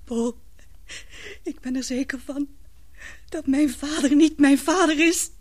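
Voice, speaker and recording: An actress in a radio play playing a frightened young woman confiding in her lover, halting and breathless in an earnest way. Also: sits high, a little mellow. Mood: panicked, distraught.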